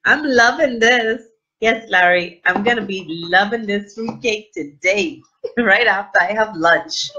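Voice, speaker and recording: A middle-aged woman chatting live to her viewers on a webcam, gushing and animated with lots of oohs and fillers. Her voice has a slightly husky edge, and the audio sounds dull and lo-fi, as from a desk camera in a small room. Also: medium pitch, a little slow. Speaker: livestream host. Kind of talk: casual live unboxing chat. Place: small indoor room with plain wall. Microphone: webcam at desk distance.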